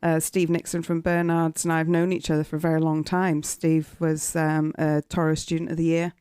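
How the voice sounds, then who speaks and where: A British woman in her fifties, a guest on a podcast, telling her story in a chatty way with many fillers and a loud voice. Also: sits low, monotone, moderate pace, smooth, no echo, studio quality. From United Kingdom. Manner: chatty, warm storytelling with frequent fillers and laughs. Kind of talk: casual podcast interview.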